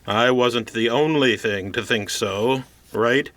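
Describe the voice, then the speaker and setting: A self-important news reporter in a radio play. He is impatient and pedantic, with a mid-pitched voice and a dry, close sound. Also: slow, a bit bright, no room sound. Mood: accusing, pedantic. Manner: pedantic, precise. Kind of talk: audio theater acting.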